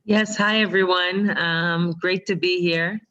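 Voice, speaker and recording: A woman whose first language is Hebrew, sharing her story on a video call and pausing often with ums. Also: on the low side, fairly even intonation, highs cut off, a crowd in the background. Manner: hesitant, many ums. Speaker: webinar participant. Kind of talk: casual pitch on a video call.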